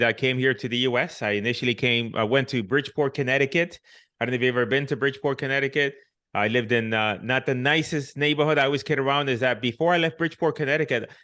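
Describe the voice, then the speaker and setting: Man, middle-aged, from puerto rico, podcast guest, reflective, casual storytelling, many fillers, mid pitch. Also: a bit bright. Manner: reflective, many restarts and "you know" fillers.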